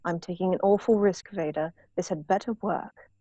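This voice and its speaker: Brief: stern imperial villain played by a woman, dark mellow tone, dry muffled recording, wary and terse. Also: on the low side, moderate pace, no room sound, lightly acted. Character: cold, wary imperial military governor, villain. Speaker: actor in a table read. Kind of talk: virtual script table read.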